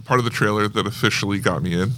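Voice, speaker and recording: A man co-hosting a casual podcast discussion of films, talking in an animated, conversational way. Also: on the low side, racing. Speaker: podcast co-host. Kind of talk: casual movie trailer discussion.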